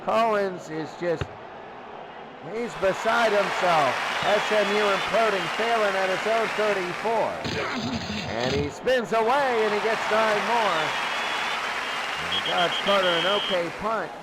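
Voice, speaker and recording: A man's voice: high voice, measured pace. A man doing live play-by-play radio commentary on a football game in short, clipped phrases. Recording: loud crowd noise, noisy broadcast. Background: roaring stadium crowd.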